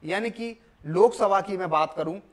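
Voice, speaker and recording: A man's voice: high voice, normal speed. A young man from India teaching a lesson, repeating key points and posing questions that he answers himself. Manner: repetitive, emphatic, asks and answers own questions. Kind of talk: classroom lecture on a video lesson. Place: indoor classroom at a board.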